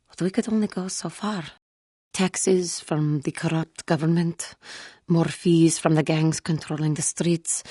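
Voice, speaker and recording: A woman playing a wounded, earnest female alien Jedi in a video game, speaking in formal, measured phrases. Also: low voice, darkish tone, husky, no echo, clean studio sound. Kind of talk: scripted video game character dialogue.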